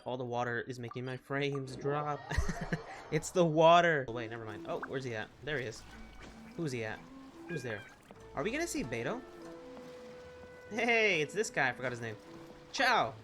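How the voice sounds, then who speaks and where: Male gaming host, mid-range voice, casual and excitable, game music clearly behind. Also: mid-range voice, moderate pace, pauses often. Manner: rambling, excitable, reacting aloud. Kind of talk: casual let's-play commentary.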